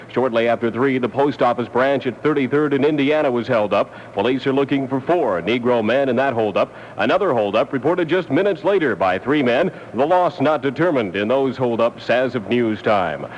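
A man's voice: medium pitch, animated, moderate pace, few pauses, lo-fi sound, a little hiss or hum. A young man reading a 1970 radio news bulletin, trying to sound authoritative. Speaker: radio newsreader. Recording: vintage 1970 AM radio aircheck.

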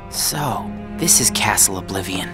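An actor playing an earnest, headstrong, troubled teenage boy in a video game, with halting delivery. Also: on the low side, expressive, loud music behind the voice. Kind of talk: video game cutscene voice acting.

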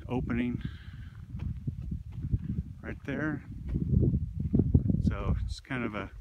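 A man's voice: mid-range voice, very slow, dull audio. A man hosting a casual walk-around vlog, talking in a laid-back, rambling, plain-spoken way. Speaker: vlog host. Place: abandoned mine tunnel, then open desert hillside outdoors. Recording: handheld outdoor recording with clear background noise.

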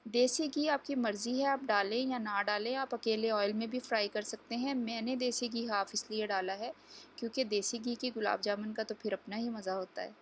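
A woman's voice: on the high side, steady tone, moderate pace, a little hiss or hum. A woman hosting a home cooking tutorial, explaining each step to viewers in a chatty, instructive way. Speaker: cooking channel host. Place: home kitchen.

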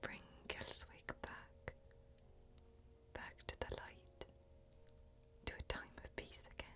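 Whispering young woman, hushed and intimate. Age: young adult. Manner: hushed, slow, deliberate, intimate. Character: female fantasy warrior, solemn, earnest, mysterious. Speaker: ASMR performer in fantasy role-play. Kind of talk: soft-spoken whispered ASMR role-play. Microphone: close microphone.

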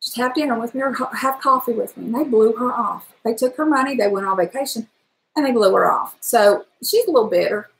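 A woman's voice: on the high side, brisk, vocal fry, echoey room, a little hiss or hum, rough recording. A middle-aged woman chatting animatedly on a live stream from her home kitchen, with a powerful trained voice, recorded on a webcam. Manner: chatty and animated, gesturing, breaks into song. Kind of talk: casual live-stream chat. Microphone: webcam at desk distance.

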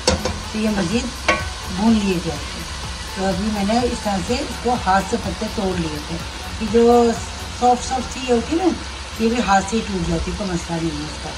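A woman's voice: medium pitch, low-quality recording. A woman with a Pakistani Urdu accent hosting a home cooking video, chatting casually as she explains each step in her kitchen. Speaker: cooking channel host. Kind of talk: casual cooking demonstration voice-over. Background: background music.

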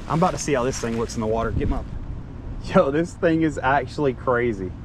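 A man, chatting casually to an action camera while fishing by a lake, excitable and quick to shout. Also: mid-range voice, noisy background. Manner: excitable, talks while tying lures, quick bursts of shouting. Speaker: fishing vlogger. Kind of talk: casual outdoor chat to camera. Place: outdoors by a lake.

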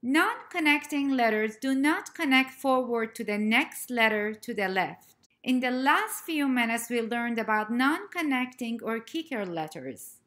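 Slow, careful woman teaching a lesson. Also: high voice, a bit bright. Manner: slow, careful, clearly spelled-out letter names. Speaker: language teacher. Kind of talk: scripted narration of a slide lesson.

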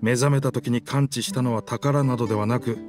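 An actor voicing a proud, dramatic talking pirate ship in an anime video game, in pompous, rough masculine speech. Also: male voice, mid-range voice, fairly even intonation, fairly quick, lightly acted. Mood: wistful, subdued. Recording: music behind the voice.

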